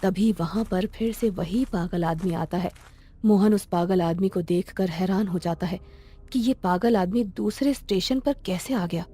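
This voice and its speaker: A woman's voice: on the low side, unhurried, warm tone, husky. A woman storyteller reading scripted narration for a cartoon tale. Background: faint music.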